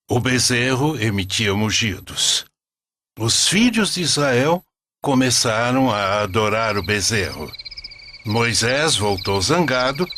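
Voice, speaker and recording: Slow, expressive male story narrator. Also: medium pitch, background noise. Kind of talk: scripted religious story narration.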